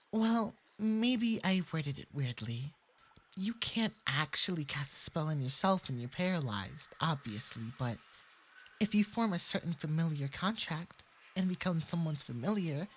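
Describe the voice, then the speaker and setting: A man playing a young kitsune fox boy who is sweet, polite and quietly possessive, speaking gently and hesitantly in an intimate ASMR roleplay with a soft, breathy voice. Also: on the high side, a little hiss or hum. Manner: gentle, hesitant, coy, conversational.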